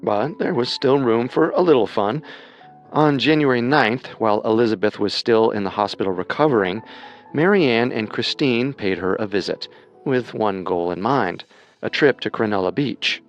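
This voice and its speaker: Male co-host for scripted true crime, lower register, explanatory and measured, music bed beneath. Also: lo-fi sound. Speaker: co-host narrator. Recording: music under the voice.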